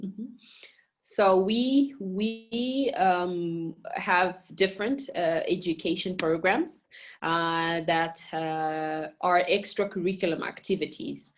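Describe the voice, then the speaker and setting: Woman from Mozambique, lower register, halting interview answers full of fillers. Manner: hesitant, many fillers and restarts. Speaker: communications director and girls education program manager at a national park.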